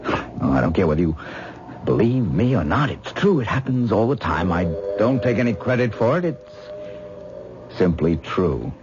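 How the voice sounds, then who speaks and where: A dreamy mystic man, deep voice. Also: sing-song, measured pace. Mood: insistent. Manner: dreamy, earnest, lecturing, gently condescending. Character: intense mystical male actor obsessed with astral projection. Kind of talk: 1970s radio drama acting. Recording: muffled old radio broadcast with faint hiss.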